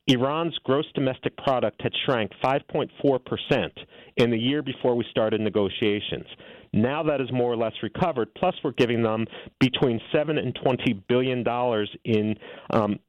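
A man's voice: mid-range voice, moderate pace, no room sound. A middle-aged man being interviewed as an author, arguing his points fluently and assertively. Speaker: guest author and policy analyst. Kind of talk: interview answers, policy argument. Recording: tinny phone-like line.

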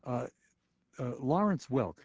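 A man hosting a radio call-in show, jocular and quick with asides. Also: medium pitch, expressive, a little mellow, slightly rough, dry recording. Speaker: radio talk show host. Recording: slightly muffled radio broadcast.

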